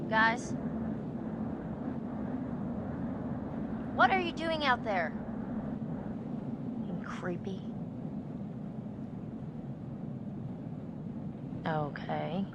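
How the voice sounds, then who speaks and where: An actress playing a sassy, expressive young woman trapped at a spooky lodge, in a naturalistic game cutscene. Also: mid-range voice, slow pace, heavy background noise. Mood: annoyed. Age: young adult. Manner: sarcastic, expressive.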